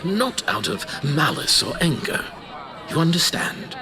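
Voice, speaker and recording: A man's voice: fairly high pitch, very animated, measured pace. Old male scholar: kindly, fussy, hesitant, wildly swooping delivery over crowd ambience. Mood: anxious, kindly. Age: older. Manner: hesitant, theatrical, sometimes breathy. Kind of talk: fantasy audio drama acting.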